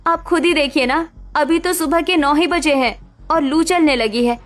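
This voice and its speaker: A woman voicing a tired, patient elder daughter-in-law who soothes and warns gently. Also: high voice, moderate pace, voice pushed up, natural voice. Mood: weary.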